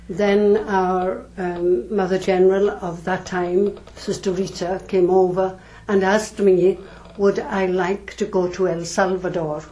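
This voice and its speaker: An older woman from South Wales, a nun calmly answering interview questions with thoughtful pauses. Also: medium pitch, poor audio. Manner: measured, thoughtful, with frequent pauses and ums.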